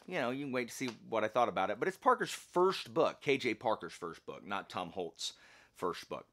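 A man hosting a book channel, chatting casually and emphatically in colloquial speech with self-deprecating humour. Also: mid-range voice. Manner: animated, emphatic. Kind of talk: casual chatty vlog talk about books.

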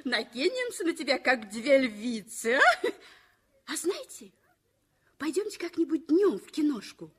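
A woman's voice: sits high, sing-song, measured pace, pauses often, fairly bright tone, full character voice. An actress playing a cheerful, teasing young woman in a 1960s radio play, talking playfully with giggles.

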